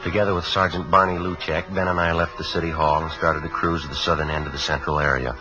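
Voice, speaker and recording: Low, clipped police sergeant played by an actor. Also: male voice, level tone, quick pace, loud music behind the voice, lightly acted, rough recording. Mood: flat, matter-of-fact. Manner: clipped, understated, matter-of-fact. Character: terse, businesslike male police homicide sergeant. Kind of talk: 1950s radio crime drama acting and first-person narration. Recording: vintage radio recording.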